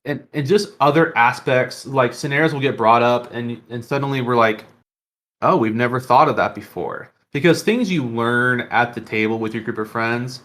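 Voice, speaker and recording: A man's voice: medium pitch, normal speed, hardly any pauses, a bit bright. Earnest man chatting on a podcast. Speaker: podcast co-host. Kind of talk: casual podcast discussion.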